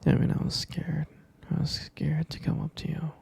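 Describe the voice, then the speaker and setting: A man plays a doting young-love husband murmuring to his partner in an ASMR roleplay. His voice is very dark and mellow, heavily creaky and breathy, often dropping into a whisper. He speaks softly and tenderly, with slow, hesitant phrasing. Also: a little high, monotone. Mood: adoring, tender.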